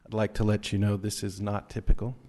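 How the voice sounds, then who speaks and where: A man on a city council speaking at a public meeting, halting with many fillers, then stern and reproachful. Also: on the low side, completely flat delivery, warm tone, big-room sound. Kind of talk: formal public statement at a meeting. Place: council chamber.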